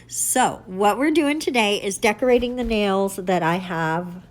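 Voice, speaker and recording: A woman's voice: mid-range voice, unhurried. A woman with a stuffy nose from allergies, hosting her nail art channel in an upbeat, chatty tutorial style. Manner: chatty, upbeat, self-correcting. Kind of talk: casual tutorial chat.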